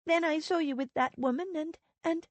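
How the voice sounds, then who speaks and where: Anxious young wife in a storybook, read by a woman; high, tearful, dry close sound. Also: sing-song, measured pace, no room sound, heavily acted. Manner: tearful, pleading, rising pitch.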